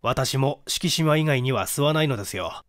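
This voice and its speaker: A man voicing a courteous, methodical police detective in a mystery audiobook, speaking politely in measured explanations. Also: mid-range voice, animated, no room sound, clean studio sound, close to an everyday voice. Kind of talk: dramatic audiobook reading of a mystery.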